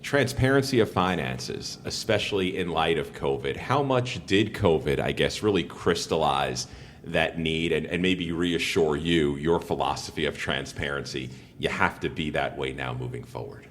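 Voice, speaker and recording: Really deep low guy interviewing someone on a podcast, bit of background noise. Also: normal speed, fairly bright tone. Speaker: podcast interviewer and sports broadcaster. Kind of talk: interview questioning.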